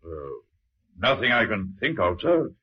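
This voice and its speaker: A hesitant, husky male informant. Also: mid-range voice, lively intonation, a little slow. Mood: hesitant, insinuating. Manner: hesitant, deferential, insinuating. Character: hesitant, insinuating brother of a murdered man. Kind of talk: old-time radio acting. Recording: muffled old radio recording.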